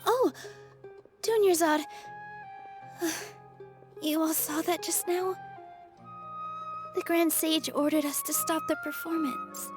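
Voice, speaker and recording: A young dancer from a fantasy game. The voice is high, sweet and earnest. The character comes across as gentle and idealistic, over faint music. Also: female voice, slow, pauses often. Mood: dismayed. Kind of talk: video game voice acting.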